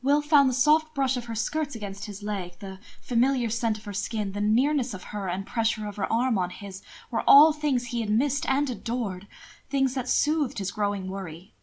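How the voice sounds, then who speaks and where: Woman, fairly high voice, audiobook narrator, scripted storytelling. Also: normal speed, darkish tone.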